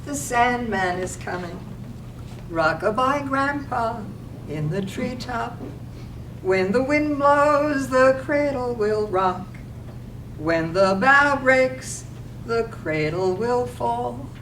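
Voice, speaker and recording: A woman's voice: fairly high pitch, lively intonation, slow, fairly bright tone. A woman playing a soothing, droll figure who croons a lullaby in a staged reading of a poetic radio drama. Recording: roomy, distant, clearly noisy.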